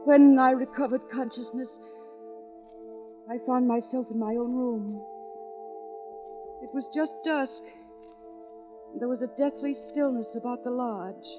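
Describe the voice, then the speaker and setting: A woman's voice: a little high, natural voice. An actress playing a frightened, defiant young woman under threat, melodramatic in 1940s radio mystery style, on a dull vintage recording. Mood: haunted, distressed. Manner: melodramatic, emotionally wrought.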